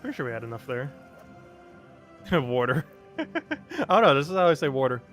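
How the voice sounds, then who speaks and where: A man's voice: medium pitch, lively intonation, brisk, fairly bright tone, faint music behind. A young man from New Jersey with a New Jersey accent, chatting casually and rambling as he commentates a game stream, chuckling often.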